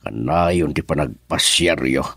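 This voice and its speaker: A Filipino man playing a gruff, inquisitive older father in a radio drama. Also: rumbling low, a little slow, fairly bright tone, no echo, studio quality, heavily acted. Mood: suspicious.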